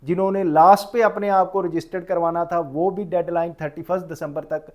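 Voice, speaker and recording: A man with a Pakistani accent speaks in a notably high register for a male voice. He delivers an informal lecture as a professional instructor, dropping English terms into his speech. He often rephrases what he has just said to explain it clearly. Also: fairly even intonation, brisk, darkish tone. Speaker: tax professional giving a lecture.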